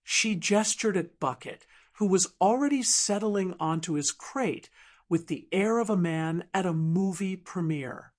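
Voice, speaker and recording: A woman's voice: lowish voice, measured pace, a little mellow. A woman narrating a scripted dramatic audiobook story.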